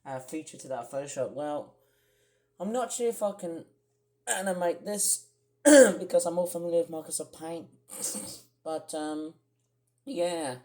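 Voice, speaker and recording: A young man with a croaky voice, chatting casually in a rambling vlog with many fillers and restarts. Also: a little high, a little slow, pauses often, a little mellow. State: croaky, clearing throat. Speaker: vlogger. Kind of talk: casual screen-share vlog.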